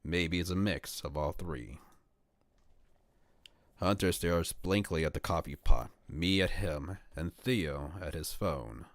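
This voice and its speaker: A young man streaming from home, calmly reading a visual novel aloud in the first person. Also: low voice, takes it slowly, no room sound.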